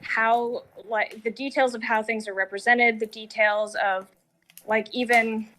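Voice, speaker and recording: A woman with a bright, slightly high voice, discussing things casually and analytically. She is articulate yet informal, building her thoughts aloud as she goes. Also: fairly even intonation, measured pace.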